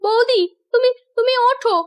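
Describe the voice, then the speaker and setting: A woman playing a gentle, dutiful young village wife and daughter in a cartoon. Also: very high-pitched, fairly even intonation, moderate pace, lo-fi sound. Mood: concerned. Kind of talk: cartoon voice acting.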